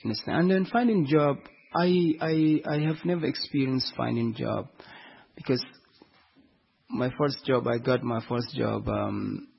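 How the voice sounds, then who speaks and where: A man speaking at a panel discussion about his own experience, halting and repeating words as he searches for them. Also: medium pitch, creaky, slightly rough. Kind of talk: unscripted discussion. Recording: dull lo-fi recording.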